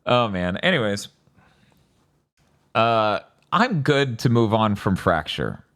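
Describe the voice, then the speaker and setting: Animated man riffing on a podcast. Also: lowish voice, slow. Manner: joking, riffing with mock dialogue. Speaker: podcast host. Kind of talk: casual chat about games and films.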